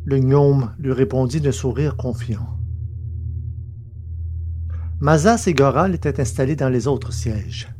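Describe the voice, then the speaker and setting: A man's voice: medium pitch, slow, pauses often, darkish tone, slight background noise. A man hosting a podcast and narrating, with a scripted, storytelling delivery.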